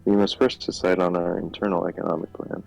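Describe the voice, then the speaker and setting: A man voicing a measured government economic minister in a fan reading of a political game, speaking formally and explaining. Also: sits low, little pitch movement, creaky, a little mellow, rough recording. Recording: music behind the voice.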